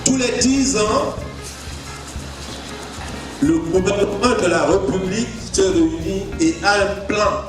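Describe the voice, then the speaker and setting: A man gives a formal speech at a ceremony in measured, halting phrases. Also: high voice. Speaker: speaker at a launch ceremony. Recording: echoey hall with music behind.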